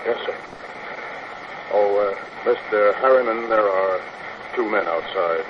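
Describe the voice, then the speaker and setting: A muffled, noisy vintage broadcast carries a timid male secretary played by an actor. His voice is on the low side, formal and hesitant, always deferring, in fifties radio acting style. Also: unhurried, close to an everyday voice. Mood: anxious, deferential. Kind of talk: 1950s radio drama acting.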